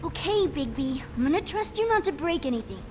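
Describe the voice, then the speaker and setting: A very high, childlike voice of an anxious little girl. She is guarded and pleading, like a character in a story-driven video game. Music plays behind her. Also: sing-song, fairly quick. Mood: guarded, reluctant. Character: pleading young girl, anxious about getting in trouble.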